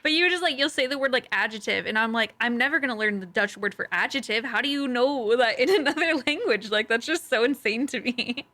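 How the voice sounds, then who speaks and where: A young woman hosting a podcast, chatting in an animated, giggly, self-deprecating way. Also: fairly high pitch, quick pace, few pauses. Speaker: podcast host. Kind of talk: casual chat.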